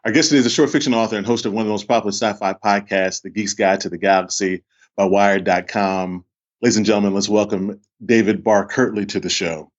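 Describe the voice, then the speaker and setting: Low-voiced man hosting, slightly muffled. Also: fairly even intonation, fast talker. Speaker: podcast host. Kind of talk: casual interview chat. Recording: slightly dull, lo-fi microphone.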